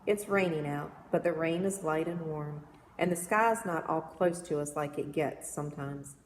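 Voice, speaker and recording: A woman reading children's poems aloud in an expressive storytelling manner, recorded in an echoey room. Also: low voice, moderate pace. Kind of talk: read-aloud of children's verse novel. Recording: echoey reverberant room with background noise.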